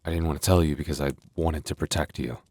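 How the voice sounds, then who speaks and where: A man playing a gentle, sheepish young werewolf boyfriend in an intimate ASMR roleplay, speaking softly and hesitantly. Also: extremely low pitch, steady tone, fast talker, husky. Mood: sheepish, reassuring. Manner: soft, hesitant, stammering.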